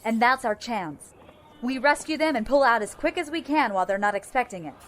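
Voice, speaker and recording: An actress playing a confident squad captain in a science fiction audio drama, brisk and commanding. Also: female voice, fairly high pitch, lively intonation, a bit bright. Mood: brisk, focused.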